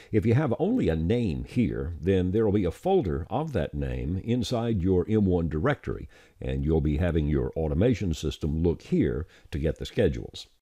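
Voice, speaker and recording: A man narrating a scripted software tutorial, explaining things plainly step by step. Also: deep voice, dry recording, studio quality. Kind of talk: scripted instructional screencast narration.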